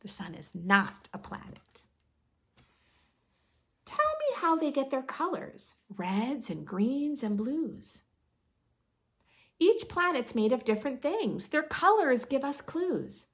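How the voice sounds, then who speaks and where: A woman hosts a read-aloud for young children, reading at an unhurried pace with a very lively, swooping melody. Her voice sits slightly high and has a noticeable creak. She is expressive and instructive, speaking straight to her little listeners.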